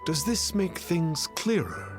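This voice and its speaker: A man's voice: fairly high pitch, sing-song, slow. A man voicing a pompous self-styled immortal sage in a video game, with lofty, archaic, solemn diction. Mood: lofty, assured. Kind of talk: video game character acting. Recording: music behind.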